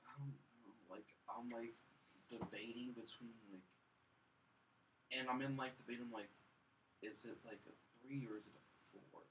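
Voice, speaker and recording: A man's voice: mid-range voice, fairly even intonation, moderate pace, pauses often, a bit bright, distant mic, low-quality recording. A man chatting casually about films as a podcast co-host, blunt and emphatic, repeating himself and leaning heavily on "like". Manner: blunt, emphatic, repetitive, heavy use of "like". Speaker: film review podcast co-host. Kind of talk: casual film review chat. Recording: off-mic with faint background noise.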